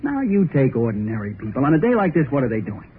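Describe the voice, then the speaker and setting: An actor playing a carefree, playful young groom who jokes boisterously, in 1930s radio acting style. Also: male voice, medium pitch, sing-song, fast, natural voice. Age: young adult. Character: carefree joking young groom, happy-go-lucky. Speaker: young bridegroom. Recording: tinny old radio recording with faint hiss.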